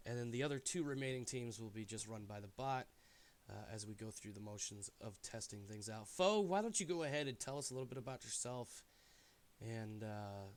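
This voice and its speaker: A man hosting a game stream in casual chat, sounding stuffy, animated and jokey, often trailing off into asides. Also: on the low side, slight background noise.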